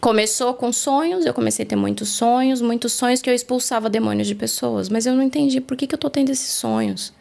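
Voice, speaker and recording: Brazilian woman, interview guest; earnest testimony, slightly high, gravelly fry. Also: fast, few pauses, a little mellow. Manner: earnest, reflective storytelling. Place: studio.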